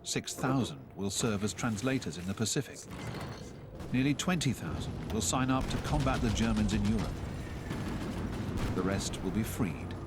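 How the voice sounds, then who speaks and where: A man narrating a historical documentary in a measured, solemn scripted delivery, recorded as a studio voice-over. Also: on the low side, heavy background noise. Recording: narration under loud music score.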